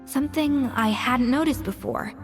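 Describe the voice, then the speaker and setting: A proud young female master chef, frank and determined. Her voice is fairly high and she speaks in measured, articulate game dialogue, with long reverb and music behind her. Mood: frustrated. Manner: measured, articulate, reflective storytelling. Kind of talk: scripted video game dialogue. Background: background music.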